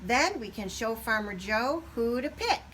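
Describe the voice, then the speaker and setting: An earnest boy turkey, voiced by a woman, exclaims in a high, very animated storybook voice. Faint noise sits behind it. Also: measured pace. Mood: eager. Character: eager, earnest young male turkey.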